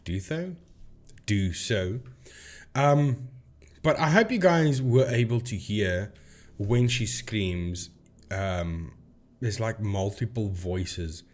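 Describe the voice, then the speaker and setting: A man's voice: lowish voice, takes it slowly, a little mellow. A man hosting a video channel, explaining things informally and often stopping to rephrase himself. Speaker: host of a paranormal debunking video channel.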